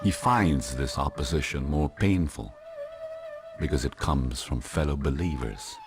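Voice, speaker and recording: A man giving measured, deliberate scripted documentary narration. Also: rumbling low. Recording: narration over clear background music. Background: instrumental music.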